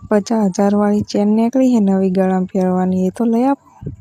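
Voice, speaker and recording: A woman's voice: mid-range voice, fairly even intonation, normal speed, dark tone, no echo, natural voice. A woman with a Gujarati accent playing a demanding village in-law who wheedles insistently in a casual phone conversation.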